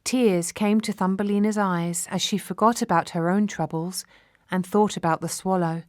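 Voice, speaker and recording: A woman storyteller reading a scripted children's fairy tale in a measured, expressive way. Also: fairly low pitch, no echo, studio quality.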